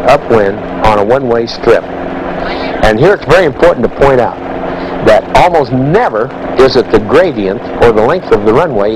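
A man's voice: mid-range voice, noisy background. A man giving emphatic instruction about flying, recorded on 1960s film sound.

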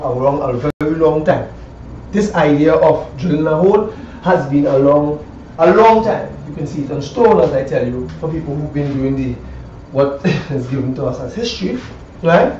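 A Caribbean man with a slightly high voice talks through a hands-on demonstration in a loose, repetitive way, often tagging phrases with a questioning check. The sound is roomy and a little dull, picked up by a camera some distance away. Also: warm tone. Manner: rambling, repeats phrases, frequent 'right?' tags. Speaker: woodworker demonstrating hand tools. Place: small cluttered indoor woodwork shop. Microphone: camera a few metres away. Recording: echoey room, distant mic, dull, faint noise.

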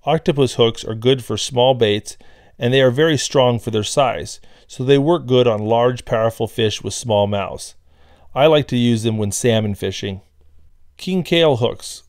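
A man giving a scripted voice-over tutorial on fishing gear, explaining in a plain, steady way. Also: medium pitch, dry recording, studio quality. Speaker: fishing instructor.

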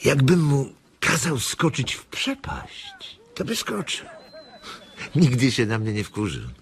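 An actor playing a weary, protective and stern farm labourer in a radio drama, plain-spoken and firm. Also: male voice, medium pitch, wildly swooping pitch, slow, exaggerated voice. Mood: weary, reflective.

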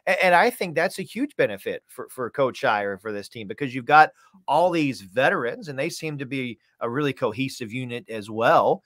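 A man's voice: medium pitch, lively intonation, moderate pace, fairly bright tone, dry recording, clean studio sound. A man in his thirties talking conversationally as a sports interview guest, folksy and emphatic, repeating phrases for stress. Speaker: sports play-by-play announcer, podcast guest. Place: small home room, video call.

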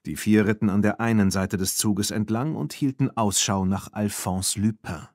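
A man reading a storybook aloud as an audiobook narrator, in a measured, clearly articulated way. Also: lowish voice, a little mellow, no room sound, studio quality.

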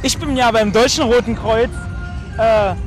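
A young man reporting casually and loudly from a festival, joking informally into a handheld microphone. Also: extremely high pitch, unhurried. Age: young adult. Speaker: event reporter. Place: outdoors at a night festival. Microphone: handheld microphone with foam windscreen. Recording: loud music and crowd noise behind.

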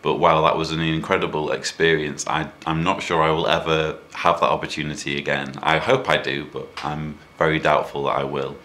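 British male vlogger, thirties, very low pitch with a crisp bright edge, casual delivery. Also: little pitch movement, brisk, hardly any pauses. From United Kingdom. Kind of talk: casual commentary to camera. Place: small indoor room at a desk.

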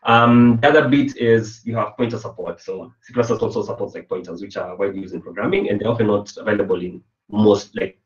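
A man's voice: on the low side, quick pace. A young man from Kenya giving a casual online programming lesson, chatty and loose, full of fillers and restarts. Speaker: programming instructor. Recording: roomy, distant, dull webcam sound.

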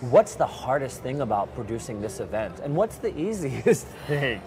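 A man's voice: mid-range voice, very animated, moderate pace. A young man interviewing an expo organizer, pressing with pointed questions and laughing easily. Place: large convention center hall, indoors. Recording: busy convention hall crowd noise. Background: crowd chatter.

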